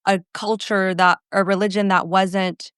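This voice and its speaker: A woman in her thirties from Phoenix, a podcast guest telling her life story in an earnest, halting way, often correcting herself. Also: medium pitch, flat delivery, clear, resonant tone, no room sound, clean studio sound. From Phoenix, Arizona, USA. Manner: earnest, halting, with self-corrections and soft laughs. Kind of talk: personal testimony in an interview.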